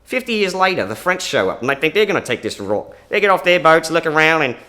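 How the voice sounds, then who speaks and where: A man's voice: fairly high pitch, very animated, fast, pushed and bright. A man plays a laid-back Australian bloke with a strong Australian accent. He rambles along in an easygoing way, telling a long tale. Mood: storytelling. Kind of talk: improvised comedy monologue.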